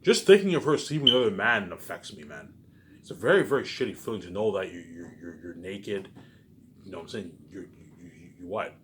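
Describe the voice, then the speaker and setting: Casting: male vlogger, low voice, loose repetitive monologue with emphatic bursts and muttered endings, some background noise. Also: animated, a little fast, fairly bright tone. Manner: frequent swearing. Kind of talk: rambling casual monologue. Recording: clearly noisy background.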